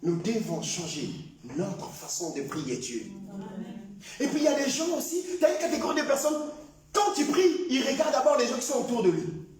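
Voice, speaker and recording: Man preaching loudly in an echoey hall. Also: extremely high pitch, sing-song, a little fast, recorded from a distance. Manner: preaching with shouted emphasis, acting out prayers. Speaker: pastor. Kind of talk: sermon.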